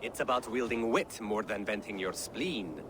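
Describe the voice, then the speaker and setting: A man's voice: on the low side, moderate pace, noisy background, natural voice. A man playing a jovial, witty Viking poet in a video game, theatrical and lilting. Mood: theatrical, rhyming. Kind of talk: theatrical video game acting, declaiming rhymes.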